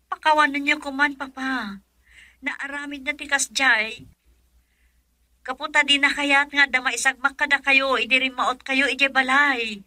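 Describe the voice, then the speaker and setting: A woman's voice: high-pitched, flat delivery, a little slow, bright, forceful tone, no room sound, heavily acted. A woman with an Ilocano accent playing a worried young wife in an emotional radio drama. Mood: anxious, pleading. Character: worried young wife pleading with husband and father.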